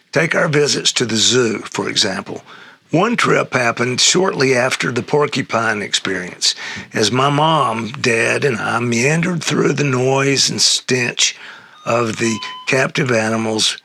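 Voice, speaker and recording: Older guy reading a funny family essay, raspy n husky, stumbles a bit, real dry recording. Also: medium pitch, bright, forceful tone, no echo. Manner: halting read-aloud with stumbles and chuckles. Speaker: reader of an essay excerpt. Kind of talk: reading a humorous memoir essay aloud.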